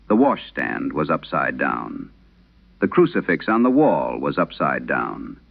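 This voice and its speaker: A man playing an aging, haunted priest, telling a solemn horror radio monologue with measured, brooding, confessional delivery. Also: rumbling low, sing-song, creaky, phone-quality sound, heavily acted. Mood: grave, haunted.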